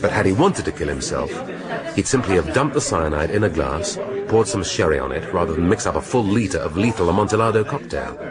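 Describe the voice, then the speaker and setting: A man's voice: low-pitched, animated, fairly quick, a loud crowd. An actor playing a world-weary male chief inspector in a radio drama, with a dry, sardonic, articulate delivery. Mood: explaining, assured. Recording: music behind the voice.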